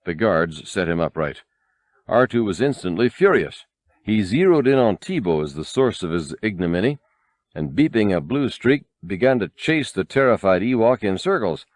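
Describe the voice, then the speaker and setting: Male narrator for adventure fiction: lowish pitch, animated range, measured dramatic delivery, dry sound. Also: no echo. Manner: expressive, measured storytelling. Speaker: audiobook narrator. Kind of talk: scripted dramatic narration of a novel.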